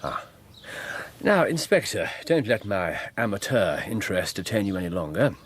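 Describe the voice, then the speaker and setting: An actor playing a shrewd, courteous, probing detective in a radio drama. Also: male voice, lowish voice, big pitch swings, normal speed, breathy edge. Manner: polite, probing, disarmingly casual. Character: shrewd, courteous, inquisitive male consulting detective.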